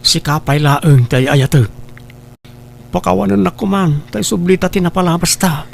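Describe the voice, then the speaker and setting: A regretful young Filipino husband, acted by a man in a comedy drama. His voice is fairly high, with a plaintive, complaining delivery. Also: moderate pace, creaky, slight background noise. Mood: regretful, pleading.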